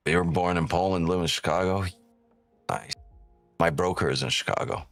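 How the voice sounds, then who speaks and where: A man's voice: sits low, normal speed, fairly bright tone, dry recording, faint music behind. A man born in Ukraine hosts a live trading stream, chatting casually and with profanity as he reads chat aloud, often tagging on "right?".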